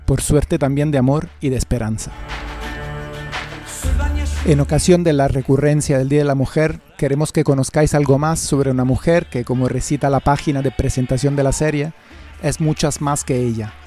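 Guy hosting a radio show, mellow medium voice, scripted intro, bit of music behind. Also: mid-range voice. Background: faint music.

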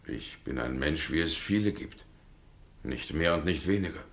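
A haunted everyman in a vintage radio drama, resigned and submissive. The voice is extremely low and creaky, with grave, halting delivery. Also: animated, fairly bright tone, exaggerated voice. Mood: sorrowful. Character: haunted, resigned everyman condemned for another's deed. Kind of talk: 1960 German radio play acting.

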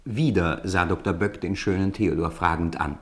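A man's voice: low voice, normal speed. A man narrating a 1950s radio play, reading the story vividly and expressively.

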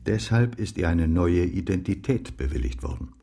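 An actor playing a blunt, seasoned private investigator in a radio drama, speaking in a matter-of-fact, unhurried way. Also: male voice, low-pitched, lively intonation, mellow. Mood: sober, wry.

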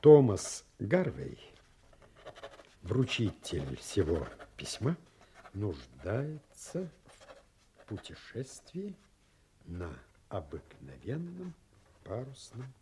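An actor playing a thoughtful, obliging doctor and wry confidant in an audio drama, musing hesitantly with dramatic pauses. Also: male voice, lowish voice, huge pitch swings, dark tone, slight background noise, heavily acted. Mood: helpful, deliberate.

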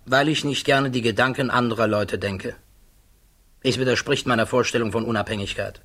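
A man acting a middle-aged scientist being questioned in a 1960s radio play. His voice is husky and medium in pitch. He answers with guarded, measured dignity. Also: steady tone, fairly bright tone, natural voice. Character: thoughtful, guarded male scientist under questioning.

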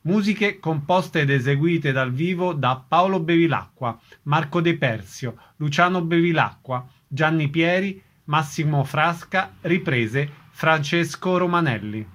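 Higher-pitched male radio host. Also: expressive, measured pace, few pauses, a bit bright. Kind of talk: scripted announcing and interviewing.

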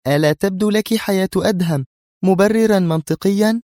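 A man's voice: high-pitched, a little fast, darkish tone, dry recording, studio quality, voice pushed up, lightly acted. A man voicing an anxious, easily vexed young woman agent in an audio drama, speaking tensely and insistently.

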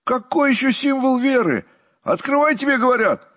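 A man's voice: fairly high pitch, very animated, measured pace, breathy edge, muffled recording, pitched above the performer's own voice, exaggerated voice. A man doing a blustering outraged Soviet official. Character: indignant pompous Soviet official, middle-aged man.

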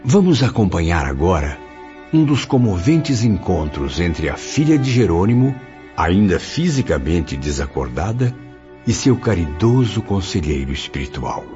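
Guy playing formal brazilian guide narrating a radio play, deep voice, music behind. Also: very animated, a little slow. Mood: solemn, explanatory. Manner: formal, didactic. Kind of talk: scripted radio drama narration. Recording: dull audio with music bed.

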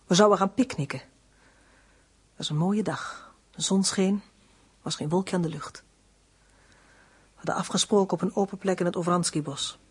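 A woman's voice: fairly low pitch, fairly even intonation, moderate pace, long pauses, breathy edge. An actress playing a defiant, guarded young woman under arrest in a radio play, speaking in a terse, controlled way. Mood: controlled, accusing. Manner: terse, controlled, plain recounting.

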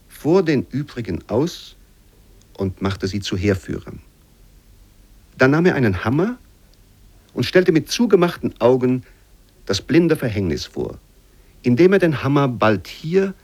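A man reads old literary prose as a radio narrator, in a measured, formal and expressive way. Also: medium pitch.

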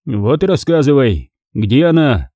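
A cold-blooded gangster from a crime audiobook, acted out by a man. He taunts and domineers with sardonic menace, his mellow voice moving freely up and down. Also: medium pitch, very animated, measured pace, slightly rough, dry recording, highs cut off. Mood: angry, threatening. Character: menacing male thug, mocking, cold-blooded interrogator.

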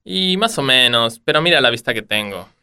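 An Argentine actor playing a sly, easygoing crook in a comedic radio play. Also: male voice, mid-range voice, expressive, fairly quick, bright, forceful tone, clean tone. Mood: casual. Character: easygoing, sly male crook hosting guests.

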